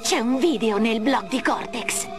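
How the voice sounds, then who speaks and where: A woman's voice: fairly high pitch, unhurried, music in the background. A woman voicing a clever young female bandicoot in Italian game cutscenes, bubbly and girlish. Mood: confident. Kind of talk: animated game cutscene acting.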